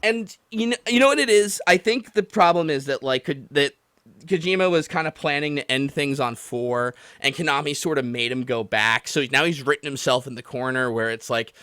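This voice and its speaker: A man's voice: on the high side, fairly quick, clear, resonant tone, no room sound. A man hosting a livestream, ranting casually about a game in an exasperated, rambling, profane way. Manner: exasperated, animated, rambling, mimicking others in mock quotes. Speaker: podcast and livestream host taking callers.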